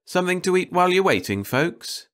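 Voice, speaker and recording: A man voicing a quiet, wistful older roadside lunchroom keeper in an audiobook story, mild and musing. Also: high voice, very animated, brisk, pitched above the performer's own voice. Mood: obliging. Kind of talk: audiobook character dialogue.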